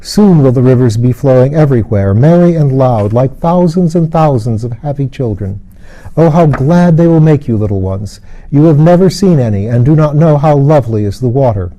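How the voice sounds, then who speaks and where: A wise, kindly lady of a fairy tale, read by a man, serene and solemn. The tone is very soft and dark, and the phrasing is calm and poetic. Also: medium pitch, normal speed, muffled recording, natural voice. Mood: gentle wonder.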